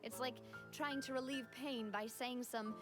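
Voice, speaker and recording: A woman acting a gracious, gentle young female leader in a video game, grieving yet composed, over music. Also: a little high, steady tone, natural voice. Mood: sincere, sad. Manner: gentle, measured, sincere. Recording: music behind the voice.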